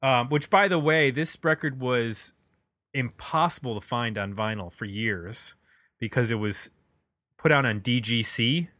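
A man's voice: medium pitch, unhurried, sharp tone, no room sound. A man hosting a podcast, casually discussing music in a conversational, opinionated way. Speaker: podcast host.

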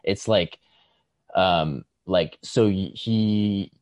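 Halting, low-voiced man, closely recorded. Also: darkish tone, no room sound. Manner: halting, tentative, circling toward his point. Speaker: podcast co-host. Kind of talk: casual literary discussion.

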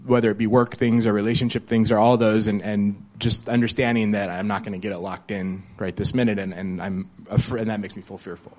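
A man speaks from the audience in a lower voice, feeling his way through a personal thought. He stops and restarts often and thinks aloud as he goes. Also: steady tone, a little fast, few pauses, darkish tone. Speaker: audience member sharing. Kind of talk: unscripted personal reflection.

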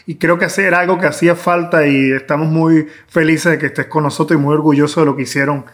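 A man's voice: fairly high pitch, fairly even intonation, fast. A man with a Venezuelan accent, speaking as a podcast host in a conversational interview.

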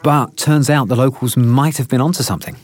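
An actor playing a perky, nerdy male scientist in a naturalistic audio drama, eager and pedantic, slightly husky. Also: medium pitch, steady tone, fairly quick, a little mellow, lightly acted. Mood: intrigued.

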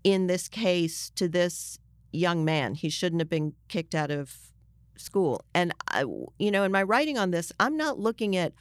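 A woman's voice: lowish voice, lively intonation, measured pace, smooth, no echo. Woman in earnest conversation, dry close sound. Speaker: journalist guest. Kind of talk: conversational interview discussion.

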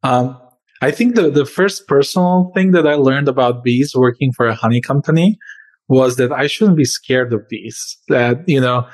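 A man's voice: mid-range voice, normal speed. A man who grew up in Serbia answers interview questions as a company founder, speaking earnestly with frequent fillers and shifts in pace.

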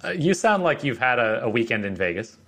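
A man appearing as a podcast guest in casual chat, with a wry, deadpan sense of humor. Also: mid-range voice, a little fast.

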